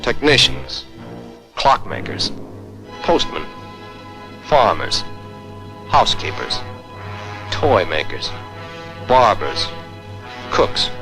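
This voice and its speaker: An American man narrating a 1940s military training film in a stern, emphatic, commanding manner. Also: lowish voice. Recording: vintage 1940s film soundtrack. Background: orchestral music.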